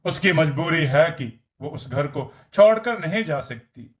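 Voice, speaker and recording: A man's voice: on the high side, expressive, unhurried. A man reading scripted story narration as the narrator.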